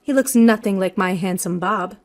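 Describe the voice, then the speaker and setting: A woman's voice: medium pitch, normal speed, darkish tone, close to an everyday voice. Woman acting a spiteful, haughty older mother-in-law. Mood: smug contempt. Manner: haughty, accusing, emphatic. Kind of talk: dramatized storytelling.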